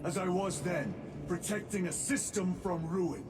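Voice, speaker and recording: A terse, resolute lieutenant. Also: male voice, high-pitched, over music. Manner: clipped, measured. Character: resolute male space marine lieutenant, terse. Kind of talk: video game cutscene acting.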